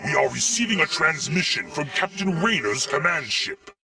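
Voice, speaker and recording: A man's voice: on the high side, very animated, normal speed, sharp tone, far from the mic, a little hiss or hum, exaggerated voice. A man voicing an earnest alien warrior adviser in a video game, formal and martial in delivery. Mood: urgent, resolute. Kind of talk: theatrical video game acting.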